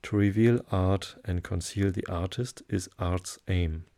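A German-speaking man with a German accent, hosting a sleep podcast and reading aloud in a low, soothing, hesitant way. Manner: hesitant, many fillers, slow calm delivery.

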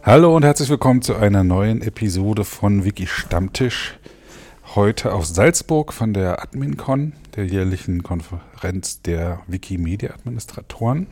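A man's voice: lowish voice, animated, moderate pace, a little mellow, husky, soft background music. A male podcast host who asks friendly, curious questions and introduces his guest. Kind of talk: podcast interview.

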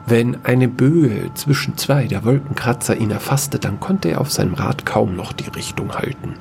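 A man's voice: medium pitch, animated, moderate pace, vocal fry, a little mellow, soft background music. A man reading scripted narration for a science-fiction fairy tale audio story.

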